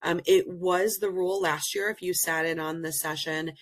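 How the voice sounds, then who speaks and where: A woman presenting in an online learning session over a video call, slightly hoarse from being sick, explaining things earnestly with many pauses. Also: on the low side, steady tone. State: hoarse, recovering from illness. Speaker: presenter and program director. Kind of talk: webinar presentation.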